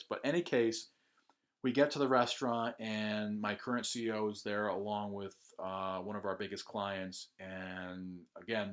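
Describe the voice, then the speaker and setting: An American man talking casually about his own job search, with plenty of "uh" and "um" pauses. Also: fairly low pitch, fairly bright tone. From United States. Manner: conversational, with frequent "uh" and "um" pauses. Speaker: job candidate sharing a testimonial. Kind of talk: casual first-person testimonial.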